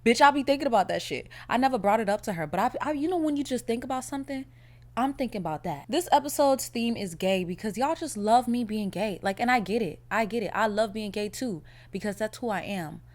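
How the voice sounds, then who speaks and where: A young woman hosting her own podcast, chatting casually in a blunt, profane, animated way, her voice a little raspy from a cold. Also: a little high, fairly quick. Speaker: podcast host. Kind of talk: casual solo podcast chat.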